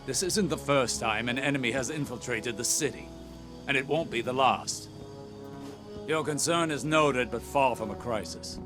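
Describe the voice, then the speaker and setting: An actor playing an aloof male mentor who speaks in a measured, commanding way. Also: mid-range voice, over music, close to an everyday voice. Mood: stern. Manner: measured, cool, stern. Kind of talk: video game cutscene dialogue. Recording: game score behind.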